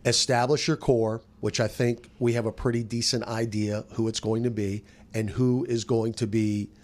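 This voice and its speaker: A man's voice: medium pitch, flat delivery, moderate pace, darkish tone. An American man, a sports radio announcer, giving casual analysis on a roundtable discussion.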